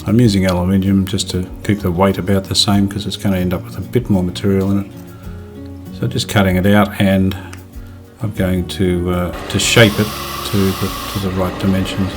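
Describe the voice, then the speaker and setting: A man with a fairly low voice talks through a hobby restoration in a casual, unscripted way. He explains things plainly and without hurry, with clearly audible music behind him. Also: little pitch movement, moderate pace. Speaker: restoration hobbyist presenting his own video. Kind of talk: casual hands-on workshop narration.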